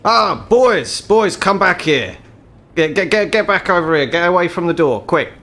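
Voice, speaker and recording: A man's voice: high-pitched, lively intonation, normal speed, bright, forceful tone, pitched above the performer's own voice, full character voice. A man voicing a big, downcast male troll who runs an inn, matey and anxious. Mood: urgent.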